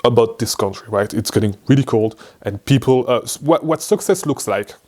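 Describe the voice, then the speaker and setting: A man's voice: mid-range voice, expressive, fairly quick, a little mellow. A young man from Belgium whose first language is French, speaking English with a Belgian French accent, chatting loosely to camera as an online business coach, rambling with fillers and restarts. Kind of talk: casual freestyle talk to camera.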